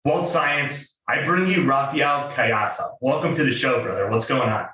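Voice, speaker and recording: A man's voice: medium pitch, normal speed, sharp tone, echoey room, recorded from a distance, poor audio. A man hosting an interview podcast with an enthusiastic, emphatic delivery, speaking in a casual, upbeat style. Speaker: podcast host. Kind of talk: podcast intro and interview chat.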